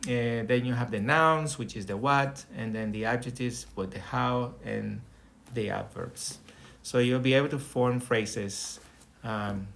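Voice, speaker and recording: A man's voice: medium pitch, vocal fry, fairly bright tone. A middle-aged man from Colombia with a Colombian accent, a native Spanish speaker, giving an online Spanish lesson over a video call and checking in with "okay?" as he explains each step. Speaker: Spanish teacher. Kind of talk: online lesson with screen share. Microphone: webcam or laptop mic on video call.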